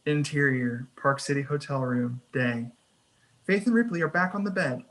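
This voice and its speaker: A narrator at a screenplay table read, with a voice of medium pitch. The delivery is scripted narration of scene headings and action. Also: moderate pace, fairly bright tone, low-quality recording. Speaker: narrator reading stage directions.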